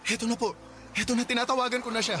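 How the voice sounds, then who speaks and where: A man's voice: very high voice, level tone, rapid-fire, heavy background noise. A Filipino man acting in a radio drama as a polite, naive young husband, anxious and stammering. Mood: nervous, pleading. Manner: hesitant, stammering, respectful.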